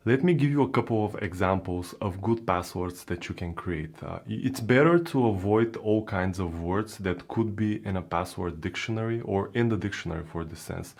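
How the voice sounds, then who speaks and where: A man's voice: low voice, normal speed, creaky, few pauses. A man with a Bulgarian accent, a native Bulgarian speaker, explaining online security step by step in a plain teaching manner with frequent fillers. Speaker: crypto security educator. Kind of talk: explainer video narration.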